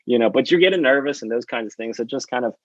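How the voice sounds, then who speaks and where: A man's voice: mid-range voice, expressive, fast talker, no echo. A talkative man, a surgeon, giving rambling advice to trainees on a panel, with many fillers and restarts. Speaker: surgeon mentoring residents. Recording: dull lo-fi dry sound.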